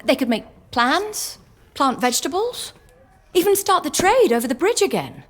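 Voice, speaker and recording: A woman's voice: high voice, big pitch swings, normal speed, fairly bright tone. A woman playing an earnest, worried daughter in a video game cutscene. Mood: pleading.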